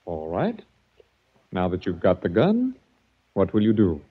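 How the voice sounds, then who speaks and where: An actor playing a smooth, sinister sanitarium director in a 1940s radio drama, speaking with menacing politeness. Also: male voice, low-pitched, wildly swooping pitch, a little slow, a little hiss or hum, full character voice. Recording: dull old radio recording.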